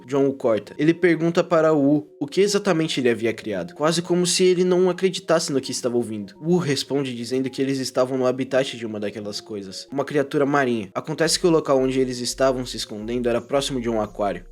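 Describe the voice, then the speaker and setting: A man's voice: medium pitch, darkish tone. A man with a Brazilian accent narrating a scripted video essay in an informal, irreverent way. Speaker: YouTuber narrator and commentator. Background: prominent background music.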